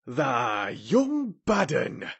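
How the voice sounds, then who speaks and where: A man voicing an indignant old Yorkshire gardener who harangues loudly in dialect, for a storybook reading. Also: medium pitch, wildly swooping pitch, drawn-out words, breathy edge, voice pushed up, heavily acted. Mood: outraged, incredulous. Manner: haranguing, loud and outraged.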